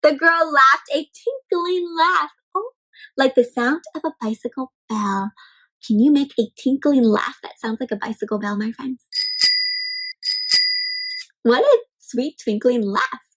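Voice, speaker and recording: A woman reading a picture book aloud to young children, expressive and full of exclamations and questions to her listeners. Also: sits high, a little slow, poor audio. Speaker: children's read-aloud storyteller.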